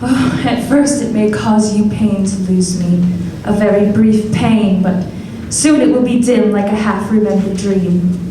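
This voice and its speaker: A woman's voice: medium pitch, background noise, natural voice. Young woman breaking it off acted by a woman, sorrowful, far mic, big echo. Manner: measured, sorrowful. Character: Belle, young woman, sad and resolute. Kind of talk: live radio play acting. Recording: echoey hall recording from far off.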